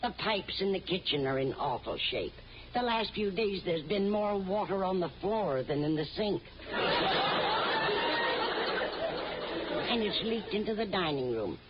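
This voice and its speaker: A woman's voice: mid-range voice, lively intonation, normal speed, crowd noise, close to an everyday voice. An actress playing a scatterbrained, kindly older woman, earnest and fussy, in an old-time radio comedy. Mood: fretful. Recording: muffled old radio broadcast, faint noise.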